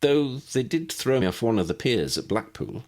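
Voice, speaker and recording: A man voicing a matter-of-fact adult woman who gently corrects others in a storybook reading. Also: mid-range voice, very animated, moderate pace, voice pushed up, natural voice. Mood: correcting. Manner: mildly corrective.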